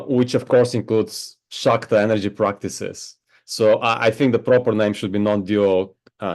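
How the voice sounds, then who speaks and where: A man who teaches meditation, answering interview questions in a slow, reflective way and often checking in with "right?". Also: on the low side, a little mellow. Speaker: spiritual teacher. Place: home on a Hawaiian island.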